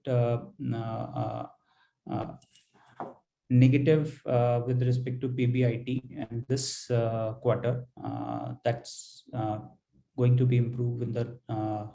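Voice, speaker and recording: A man with an Indian accent presenting financial results as a company executive on an earnings call, halting and hesitant, with frequent pauses and repeated words. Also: medium pitch, level tone, vocal fry, warm tone, poor audio.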